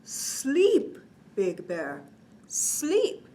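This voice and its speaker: A woman voicing an exasperated old male winter spirit in a children's storybook, giving drawn-out, emphatic commands. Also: sits high, wildly swooping pitch, dark tone, full character voice. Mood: urgent warning.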